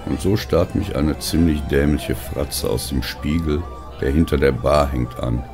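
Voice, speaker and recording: A man with an extremely low, gravelly voice reads a first-person story. His delivery is slow, weary and brooding, with music playing behind him. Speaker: narrator. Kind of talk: scripted first-person audio story narration.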